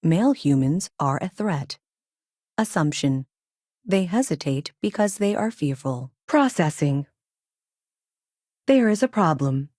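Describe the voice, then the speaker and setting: Video game ship AI, female: low, dry-recorded voice, measured and robotic, coolly logical with a childlike earnestness, clipped phrasing. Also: expressive, a little mellow, dry recording. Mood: detached, calculating. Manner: clipped, announces each statement with a one-word label, literal. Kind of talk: video game character dialogue.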